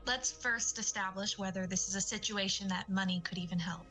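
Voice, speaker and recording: Casting: professional optometrist, played by a woman, crisp forward tone, middle pitch, tactful audio drama delivery. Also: fairly even intonation, brisk, sharp tone, soft background music, natural voice. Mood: measured, clinical. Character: measured, polite female eye doctor with grown child.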